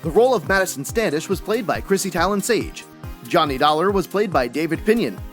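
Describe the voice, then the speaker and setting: A man's voice: on the high side, animated, a little fast, fairly bright tone, faint music behind. A man working as a credits announcer, reading a scripted announcement.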